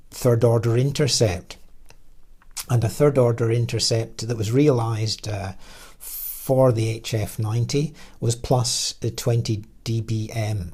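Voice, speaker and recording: A man presenting a technical slide lecture as an engineer, explaining in a halting way with frequent pauses mid-phrase. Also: medium pitch, a little mellow. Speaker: radio designer and engineer presenting.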